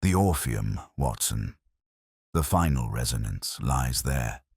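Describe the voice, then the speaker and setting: A man voicing a Victorian male detective in an audiobook, cool, cryptic and shrewd, speaking in deliberate, commanding aphorisms. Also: rumbling low, animated, a little mellow, breathy edge, dry recording, clean studio sound, pitched below the performer's own voice, exaggerated voice.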